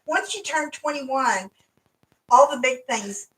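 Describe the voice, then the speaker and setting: A woman's voice: high-pitched, expressive, takes it slowly, fairly bright tone, recorded from a distance, low-quality recording. A woman in her fifties chatting casually with family as a mother, rambling and laughing often. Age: 50s. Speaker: mother and co-host.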